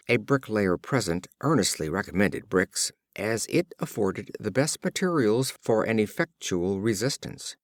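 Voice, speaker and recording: Low-pitched man narrating fables with lively intonation. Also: no echo, studio quality. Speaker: audiobook reader. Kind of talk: scripted storybook narration of fables.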